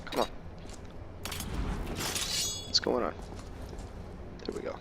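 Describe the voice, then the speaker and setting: A man's voice: takes it slowly, noisy background. A man doing casual let's play commentary, thinking aloud to his viewers and slipping into roleplay. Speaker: gaming video host.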